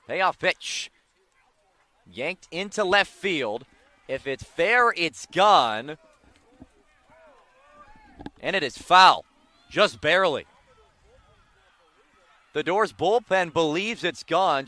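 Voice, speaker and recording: An American man doing live play-by-play baseball commentary on the radio, with excited calls on big plays. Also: a little high, dry recording.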